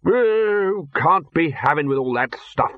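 A man reading an audiobook voices a gruff, blunt old male wizard chief who barks short phrases. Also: fairly high pitch, lively intonation, slow, dry recording, muffled recording, pitched above the performer's own voice. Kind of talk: audiobook character voice.

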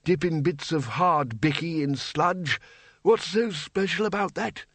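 Slow, high-pitched doddery old wizard. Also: male voice, fairly bright tone, dry recording. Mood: grumbling. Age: older. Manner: muttering, slow, hesitant with ums. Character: very old, hard of hearing, cheerfully grumpy male wizard. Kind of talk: audiobook character voice.

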